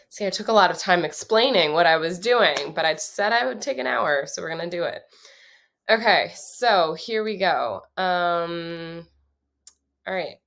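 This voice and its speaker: A young woman with a low, slightly gravelly voice talks slowly through her songwriting process. She thinks aloud with lots of pauses and fillers, casual and unhurried. Faint instrument music plays underneath. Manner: relaxed, thinking aloud with many ums.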